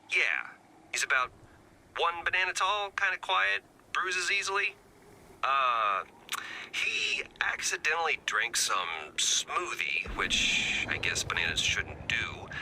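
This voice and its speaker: A wisecracking former spy in a video game, laid-back and a little scheming. His pitch swoops all over as he rambles in wry, slangy asides. There is clear noise behind him. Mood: laid-back, amused. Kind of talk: scripted game dialogue, casual chatty.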